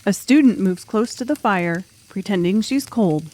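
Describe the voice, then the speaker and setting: A woman's voice: mid-range voice, lively intonation, moderate pace, warm tone. Woman narrating a scripted audiobook story.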